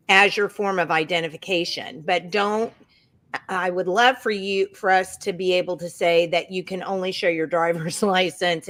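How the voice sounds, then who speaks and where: A woman's voice: fairly low pitch, fairly bright tone. A woman, a guest on a talk show, making her points in an emphatic, conversational way. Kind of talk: election talk show discussion.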